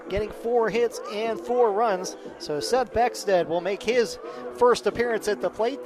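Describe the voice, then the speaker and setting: A man doing live radio play-by-play commentary as a baseball announcer. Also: sits high.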